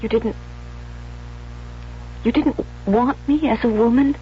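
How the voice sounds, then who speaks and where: High-voiced, obsessive young woman. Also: slow pace. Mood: wounded, demanding. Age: young adult. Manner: intense, pleading, dramatic. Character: jealous, obsessive, unstable young bride-to-be turned killer. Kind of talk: 1970s radio drama acting. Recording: dull, noisy vintage radio recording.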